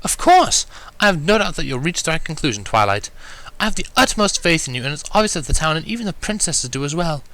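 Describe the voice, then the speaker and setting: Man acting a ladylike cartoon unicorn, raised pitch, genteel, composed, dignified, bright swooping delivery. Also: very animated, fast, no echo, exaggerated voice, low-quality recording. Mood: reassuring. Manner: proper, polite, genteel. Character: refined, composed, dignified female unicorn pony. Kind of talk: cartoon fan fiction character reading.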